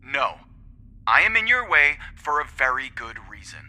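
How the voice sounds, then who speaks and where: An actor playing an anguished, protective husband pleading emotionally in an audio drama. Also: male voice, mid-range voice, very animated, moderate pace, sharp tone. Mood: exasperated, protesting.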